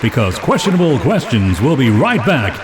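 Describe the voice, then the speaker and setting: A man acting as a grandiose game show announcer in an audio drama, with drawn-out showman delivery. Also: mid-range voice, big pitch swings, lightly acted. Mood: grand, hyped. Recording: loud music and crowd noise behind.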